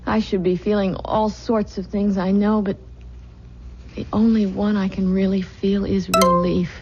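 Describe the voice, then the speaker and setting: Muffled old radio recording with hiss. An actress plays a young expectant wife, worn out and anxious. She speaks slowly and hesitantly, in a soft, mellow tone. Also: medium pitch, level tone, lightly acted. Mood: weary relief. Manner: hesitant, dramatic, weary. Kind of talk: old-time radio drama acting.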